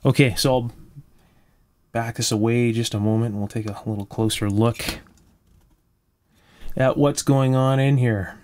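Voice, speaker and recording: A man's voice: medium pitch, fairly even intonation, unhurried, pauses often, a little mellow, slight background noise. A man giving casual hands-on repair narration, explaining carefully step by step as he hosts. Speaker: electronics restorer and video host. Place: indoor electronics workshop at a bench.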